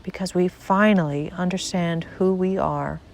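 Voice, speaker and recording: Slow, low-pitched woman guiding a meditation. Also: fairly even intonation, vocal fry, slight background noise. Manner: measured, deliberate, with pauses between short phrases. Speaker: guided meditation narrator. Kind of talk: slow guided meditation, spiritual monologue.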